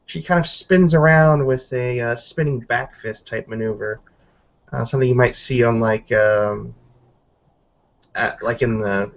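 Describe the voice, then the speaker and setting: Man, mid-to-low pitch, conversational and halting tabletop roleplay narrator, slightly muffled lo-fi recording. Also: gravelly. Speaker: player narrating a character's combat moves. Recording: dull lo-fi voice recording.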